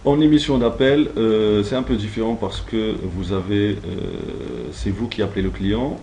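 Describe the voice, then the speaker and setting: Low, dark-voiced male trainer explaining steps. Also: expressive, measured pace, slight background noise. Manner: didactic, step-by-step, checks understanding. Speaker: trainer teaching call-centre phone technique. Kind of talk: instructional course explanation, semi-improvised.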